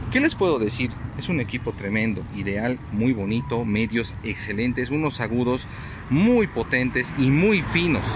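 A man speaking enthusiastically and emphatically to viewers in a casual review vlog. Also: medium pitch. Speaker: host of an audio gear review channel. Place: outdoors on a street sidewalk by shops. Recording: noisy outdoor street recording with traffic. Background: street ambience, passing cars.

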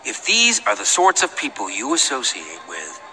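A man's voice: medium pitch, wildly swooping pitch, normal speed, creaky, pushed and bright, faint music behind, exaggerated voice, low-quality recording. An actor playing a suspicious, haughty man in a video game, with a curt, imperious and disdainful manner. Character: suspicious, haughty bearded man making a shady deal.

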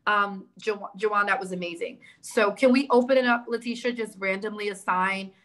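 A woman in her thirties with a New York accent runs a community meeting over a video call. Her delivery is brisk and organized, and she keeps people moving along with steady encouragement. The sound comes through a laptop webcam mic in an indoor room. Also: mid-range voice. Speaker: town hall host. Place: indoor room with big windows.

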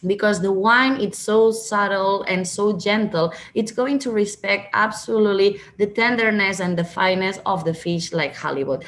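A woman in her thirties speaks English with a Spanish accent at a middle pitch. She talks over a video call from a room at home, explaining things step by step and sometimes restarting a phrase. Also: moderate pace, hardly any pauses, a bit bright, slight background noise. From Catalonia, Spain. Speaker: winery representative. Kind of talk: wine presentation to diners.

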